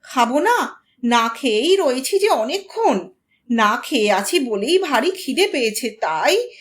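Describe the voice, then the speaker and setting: A rueful, battered elder brother from a comic storybook tale, put on by a woman narrator. The voice is high and expressive, with a plaintive, puzzled air and an unhurried pace. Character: battered, balding eldest brother, sultan's rueful son-in-law.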